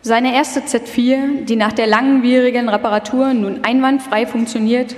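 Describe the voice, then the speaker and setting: A woman with a fairly high voice narrates a scripted documentary, performing live. Her words carry the long reverb of a large hall. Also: steady tone, moderate pace, a little mellow.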